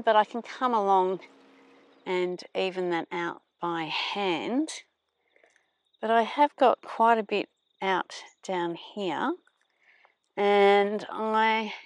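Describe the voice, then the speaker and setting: A woman's voice: mid-range voice, no room sound. Middle-aged woman narrating, dry close sound. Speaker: vlogger, permaculture farmer. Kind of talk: explanatory vlog narration.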